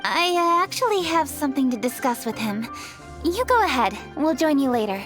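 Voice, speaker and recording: A self-assured but reserved young woman from a video game. She speaks in a high voice with precise, slightly formal phrasing, and faint music plays behind her. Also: big pitch swings, moderate pace. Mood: businesslike. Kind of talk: video game character acting.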